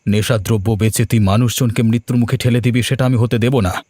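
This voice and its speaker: A man's voice: medium pitch, fairly even intonation, fairly quick, warm tone, no echo, close to an everyday voice. A man voicing a repentant crook in a cartoon, earnest and admonishing as he speaks. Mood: stern. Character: repentant male crook, an earnest, protective older comrade. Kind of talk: cartoon voice acting.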